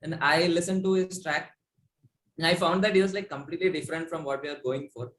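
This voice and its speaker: A man's voice: a little high, fairly even intonation, fast talker, a bit bright. A man with an Indian accent, a musician being interviewed, telling a story casually and acting out bits of dialogue with lots of "like". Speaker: musician in a pop duo, interview guest. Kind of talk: casual chat, telling how a song was made. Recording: roomy echo, faint background noise.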